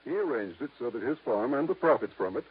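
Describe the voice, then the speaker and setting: An actor playing a measured, discreet lawyer with a formal, deliberate way of talking in a 1950s radio drama. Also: male voice, medium pitch, expressive, husky. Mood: explaining, measured. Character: measured, discreet small-town lawyer guarding a secret. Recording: tinny, narrow-band vintage radio recording.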